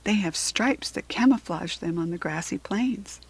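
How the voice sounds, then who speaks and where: A woman's voice: mid-range voice, normal speed, gravelly, husky. A woman with an American accent voicing a kindly, eager female zebra fairy in a children's storybook. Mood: proud, friendly.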